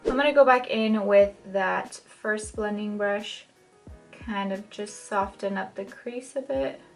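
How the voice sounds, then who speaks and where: Young woman, raspy and a bit croaky, casual how-to host with a faint music bed. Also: medium pitch, fairly even intonation, slow pace, rough recording. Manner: chatty, many "like" fillers, shifts pace often. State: dry throat, maybe catching a cold. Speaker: makeup tutorial host. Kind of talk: casual step-by-step tutorial talk. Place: home, in front of a mirror.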